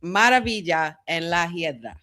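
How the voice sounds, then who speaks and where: Relaxed American woman co-hosting a podcast. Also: fairly low pitch, moderate pace. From United States. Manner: relaxed, laughs often, trails off with fillers. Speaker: podcast co-host. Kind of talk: casual chat, reading wrestling news.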